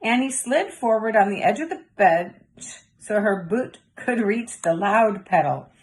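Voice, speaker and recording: Mid-pitched woman reading to children. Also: expressive, measured pace. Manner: chatty asides addressed to young listeners. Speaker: storybook reader. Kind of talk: read-aloud narration for children.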